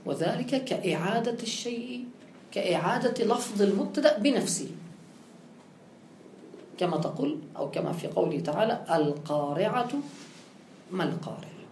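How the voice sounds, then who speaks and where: A woman's voice: mid-range voice, expressive, normal speed, long pauses, darkish tone, a little hiss or hum. A woman teaching grammar with a touch, explaining step by step and posing her own questions. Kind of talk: classical grammar lesson. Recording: echoey room with faint ambience.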